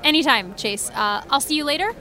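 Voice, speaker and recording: A woman playing a guarded, self-deprecating high school senior in naturalistic podcast drama, with wry, defensive chatter. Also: lively intonation, fairly quick, pushed and bright. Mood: friendly, flustered. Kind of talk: naturalistic fiction podcast acting.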